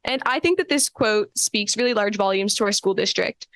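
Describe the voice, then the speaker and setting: A woman's voice: medium pitch, normal speed, fairly bright tone, no echo. A teenage girl closing a student presentation in a composed, sincere way.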